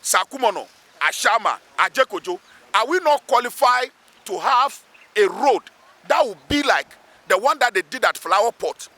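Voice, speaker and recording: A man's voice: extremely high pitch, lively intonation, normal speed, sharp tone. A man with a Ghanaian accent speaking emphatically as a local assemblyman in an outdoor interview, repeating phrases for weight. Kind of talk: spoken interview, pleading advocacy. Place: outdoors beside a road underpass.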